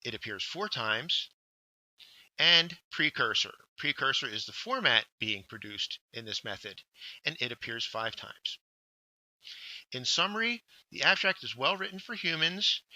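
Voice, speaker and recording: A man narrating a scripted instructional workshop, explaining step by step with deliberate emphasis on key words. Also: medium pitch, sing-song, sharp tone, no echo, poor audio. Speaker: workshop instructor, journal editor.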